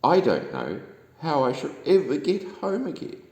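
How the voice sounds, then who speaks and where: A man's voice: a little high, wildly swooping pitch, normal speed, darkish tone, voice pushed up. A man with a New Zealand accent voicing a small, polite, anxious female duck in a children's storybook. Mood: worried. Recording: echoey room with long reverb.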